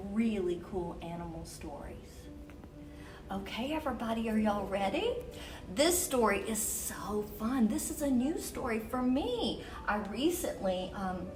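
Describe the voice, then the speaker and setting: A woman reading a picture book aloud to children as a storyteller, expressive and exclamatory, with dramatic emphasis on key words. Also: medium pitch, recorded from a distance. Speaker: children's storyteller and event host. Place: University museum gallery.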